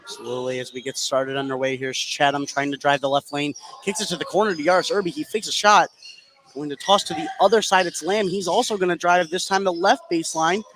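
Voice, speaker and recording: A man doing live play-by-play basketball commentary for radio, getting excitable on big plays. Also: a little high, quick pace. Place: indoor gymnasium during a game. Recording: noisy live gym broadcast.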